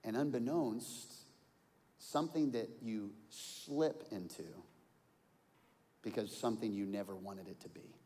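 A pastor in his forties preaching a sermon, telling stories and swinging from quiet asides to loud outbursts. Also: fairly low pitch, measured pace. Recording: long hall reverb.

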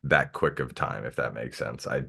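A man hosts a podcast with a voice pitched very low. He reads his opening in a scripted way with a dry, self-deprecating aside, then chats loosely with his guest. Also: normal speed, vocal fry, bright, forceful tone, slightly rough. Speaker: podcast host. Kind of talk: scripted intro, then casual interview.

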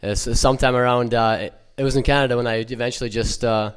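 A young man from Ukraine with no accent, giving a halting, earnest personal church testimony full of fillers and restarts. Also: mid-range voice, steady tone, clear, resonant tone.

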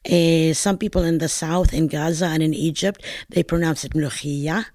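Older Palestinian woman, halting storyteller, dry sound. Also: deep voice, steady tone, a little mellow, no echo. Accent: northern Palestinian. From Palestine, later California. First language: Arabic. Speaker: interview guest, home cook. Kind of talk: conversational storytelling.

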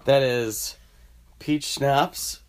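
A man's voice: mid-range voice, fairly even intonation, very slow, smooth. A man hosting a podcast, chatting loosely and rambling after a few drinks. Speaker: podcast host. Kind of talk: casual chat.

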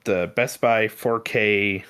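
Low-voiced man in casual chat. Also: fairly even intonation, takes it slowly, a bit bright, smooth. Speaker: podcast co-host.

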